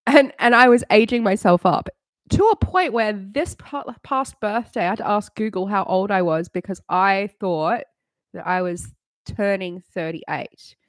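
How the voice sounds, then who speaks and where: Woman, late 30s, streamer: candid, sweary, rambling chat, mid-pitched, dry close sound. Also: measured pace, dry recording. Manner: candid and rambling, swears freely, laughs often. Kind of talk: casual chat on a live stream.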